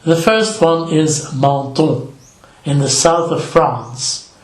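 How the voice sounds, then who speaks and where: An older man from Belgium, narrating his travel vlog in a slow, measured, reflective voice-over. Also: fairly high pitch, reverberant, a little hiss or hum, low-quality recording. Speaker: vlogger.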